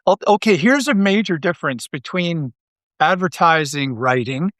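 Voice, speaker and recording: A man from Canada chatting casually as an interview guest, an animated storyteller who rambles and repeats his phrases. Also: fairly high pitch, moderate pace, dry recording, clean studio sound. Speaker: interview guest, audio drama writer.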